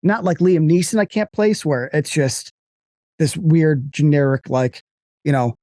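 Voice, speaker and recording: American man, mellow dry voice. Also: on the high side, unhurried, no echo. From United States. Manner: animated, profane, slips into mock voices. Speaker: podcast guest. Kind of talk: casual movie discussion.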